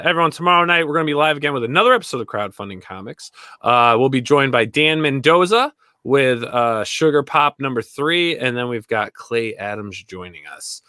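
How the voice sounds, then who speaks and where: A man's voice: mid-range voice, bright, forceful tone, dry recording, studio quality. A man hosting a comics livestream in a casual, chatty style. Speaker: host of a comics crowdfunding livestream.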